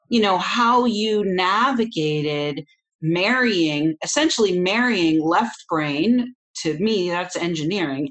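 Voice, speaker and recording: A woman hosting a podcast, interviewing a guest and making upbeat announcements. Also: fairly low pitch. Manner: upbeat, promotional. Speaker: podcast host.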